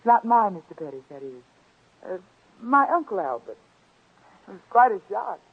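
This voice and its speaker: A woman's voice: mid-range voice, very animated, moderate pace, breathy edge. Genteel young woman visitor, chatty, slyly probing, tinny vintage radio drama recording. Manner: polite, conversational, genteel. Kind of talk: 1940s radio drama acting. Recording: tinny, muffled old-time radio recording.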